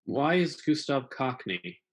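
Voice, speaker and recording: A man's voice: mid-range voice, sing-song, slow pace. Conversational man reading through a script. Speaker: show creator and co-host.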